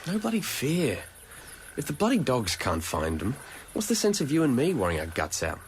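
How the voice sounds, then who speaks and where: An actor with an Australian accent playing a blunt young stablehand in a radio drama, talking in gruff bush slang. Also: male voice, medium pitch, huge pitch swings, normal speed. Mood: gruff, dismissive. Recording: faintly noisy old radio recording.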